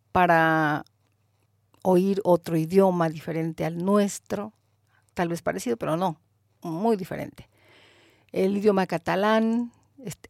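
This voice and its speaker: A woman with a Mexican Spanish accent, hosting a radio show in a measured, thoughtful way, pausing with fillers as she comments. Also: on the low side, a little mellow, no echo, studio quality. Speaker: radio host.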